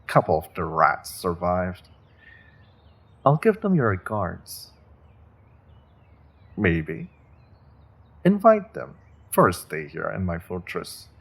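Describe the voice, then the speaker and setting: A man playing a cruel rogue sorcerer in a fantasy audio drama, taunting a captive with mock politeness, often dropping toward a breathy whisper. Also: sits low, full character voice. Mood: mocking threat. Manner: slow, taunting, mock-polite, savoring threats with pauses. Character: cruel, menacing rogue sorcerer, male captor taunting his prisoner.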